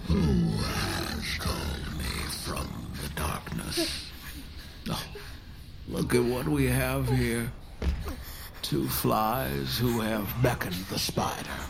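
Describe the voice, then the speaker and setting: An actor playing a gleeful, sinister demon bard who feeds on fear, slow and theatrical, in a horror audio drama. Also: male voice, medium pitch, big pitch swings, vocal fry, noisy background, heavily acted. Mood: gleeful menace. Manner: slow, theatrical, savoring menace. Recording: music bed behind the voice.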